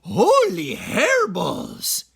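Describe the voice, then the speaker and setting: A wide-eyed little boy kitten from a storybook, as a man puts him on, bouncing and exclaiming in a sing-song lilt. His voice leaps high and swings all over. The words come unhurried. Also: huge pitch swings, pushed and bright, exaggerated voice. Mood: astonished. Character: excitable young boy kitten, dreamy.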